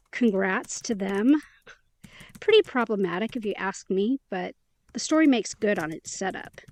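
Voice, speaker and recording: A woman's voice: medium pitch, a little mellow, lightly acted. A woman playing a chatty, upbeat young woman in an immersive audio drama, talking in a casual, playful, slangy way.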